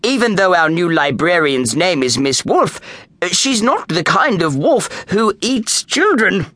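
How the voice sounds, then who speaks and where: A woman's voice: fairly low pitch, very animated, unhurried, a bit bright, a little hiss or hum, voice pushed up. A woman voicing a formal, reassuring male school principal in a children's storybook.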